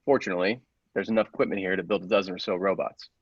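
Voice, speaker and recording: A man playing a grave, persuasive scientist's assistant in a radio play, deliberate and theatrical, with secretive zeal. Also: deep voice, fairly even intonation, slightly rough, no echo, highs cut off. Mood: businesslike, explanatory. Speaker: podcast actor.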